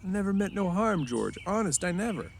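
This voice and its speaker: Simple-minded big farmhand voiced by a male reader, high plaintive tone, childlike, defensive, rural grammar, audiobook style. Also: sing-song, fairly quick, creaky, slight background noise, heavily acted.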